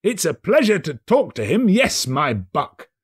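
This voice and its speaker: A man acts out a giddy old miser bursting with joy in a storybook tale. The pitch is pushed high and swings wildly, with a husky edge. The delivery is excitable, breathless and exclamatory. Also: a little slow, heavily acted. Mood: delighted.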